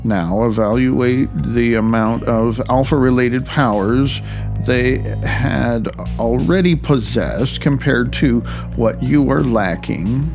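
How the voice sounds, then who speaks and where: A man from the United States reading his own self-help audiobook in a wry, deliberate way with pauses. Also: mid-range voice, creaky. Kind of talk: self-help audiobook narration with personal commentary. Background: faint music.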